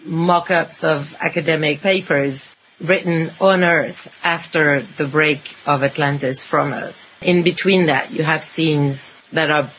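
A woman's voice: low voice, fairly even intonation, measured pace, fairly bright tone, a little hiss or hum, poor audio. A woman chatting casually and enthusiastically on a fandom podcast, explaining things in an informal way. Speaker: podcast panelist and audiobook fan reader.

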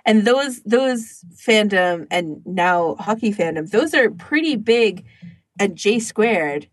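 A woman's voice: mid-range voice, animated, slow, no room sound. A woman co-hosting a podcast, chatting casually and peppering her talk with "like". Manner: chatty, frequent "like" as filler. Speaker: podcast host. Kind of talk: casual chat among fan podcasters.

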